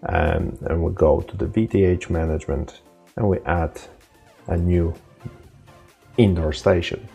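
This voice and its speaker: A man presenting an informal, unscripted software tutorial, explaining step by step in a halting way. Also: low voice, fairly even intonation, vocal fry, slightly rough, lo-fi sound, soft background music.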